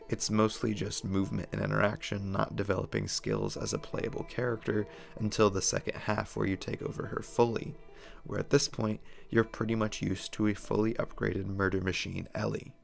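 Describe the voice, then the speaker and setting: Man, hoarse, fried gravelly tone, lowish voice, opinionated video essay host. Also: normal speed, faint music behind. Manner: casual, opinionated reviewer voice. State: hoarse, losing his voice.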